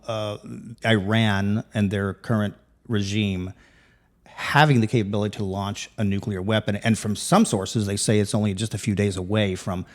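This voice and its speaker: Male host, somewhat low voice, halting questions, repeats words often. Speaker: talk show host. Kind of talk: interview, asking long questions.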